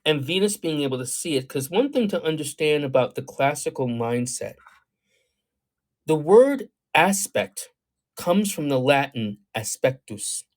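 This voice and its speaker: A man giving astrology readings on a livestream, talking in a thoughtful, wandering way with many fillers, reading viewer comments aloud and reacting to them. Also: medium pitch. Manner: meandering, thoughtful, many ums and you-knows. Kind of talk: livestream talk answering chat.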